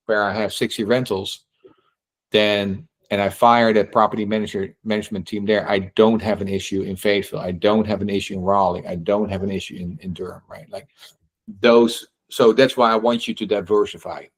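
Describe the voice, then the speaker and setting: A middle-aged man with a Dutch accent talks through real estate questions in a lively, chatty way. He acts out little quotes and keeps checking in with "right?", restarting his sentences as he goes. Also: fairly low pitch, a little fast. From Netherlands. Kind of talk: casual Q&A talk.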